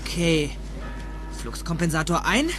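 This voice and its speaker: A man's voice: on the high side, animated, unhurried, noisy background. An actor playing an earnest, nervous teenage boy in a dubbed film, hesitant and easily startled, often urgent. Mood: anxious. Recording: film soundtrack with music behind.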